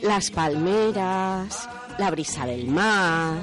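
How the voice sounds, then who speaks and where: A woman's voice: lowish voice, expressive, extremely slow, music in the background. A woman with a Spain accent co-hosting a radio show in casual chat. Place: radio studio.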